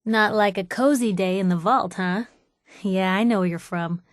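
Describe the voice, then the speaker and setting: A woman's voice: mid-range voice, normal speed, dry recording, studio quality, natural voice. An actress playing a plucky young woman newspaper reporter in a video game, with a wry, teasing, conversational way of talking. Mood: earnest, excited. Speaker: companion character voice actor.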